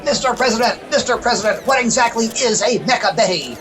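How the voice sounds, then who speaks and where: A woman's voice: a little high, animated, fairly quick, lightly acted. A woman playing an insistent, demanding press reporter in an audio drama. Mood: urgent, demanding. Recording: faint crowd behind.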